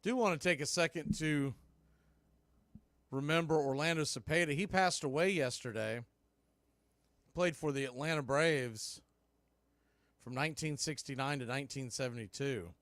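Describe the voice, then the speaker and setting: A man's voice: a little high, normal speed, pauses often, a bit bright, dry recording. A man calling baseball play-by-play on a streamed radio show, conversational and rising on big plays. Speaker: play-by-play announcer.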